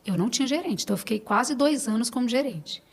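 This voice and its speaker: A Brazilian woman with a slightly high voice speaks as a guest in a casual conversation. She talks in a personal, informal way and often repeats phrases to stress a point. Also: expressive, quick pace, husky. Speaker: podcast guest, business owner.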